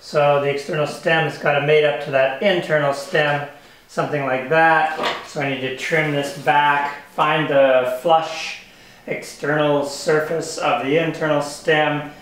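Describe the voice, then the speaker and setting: An older man calmly explaining, step by step, as he builds a wooden kayak in his workshop. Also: fairly high pitch, a little slow, recorded from a distance. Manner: calm, matter-of-fact explaining while working. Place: workshop, indoors. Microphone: camera mic at a distance. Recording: echoey workshop, voice from off-mic.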